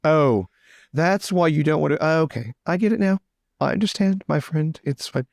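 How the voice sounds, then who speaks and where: Man playing a fussy, high-voiced flustered aristocrat. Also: normal speed, gravelly, darkish tone, no room sound, clean studio sound. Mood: coaxing. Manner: stammering, exasperated, theatrical. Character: fussy, eager-to-please young male aristocrat, vain and flustered. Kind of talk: improvised tabletop roleplay.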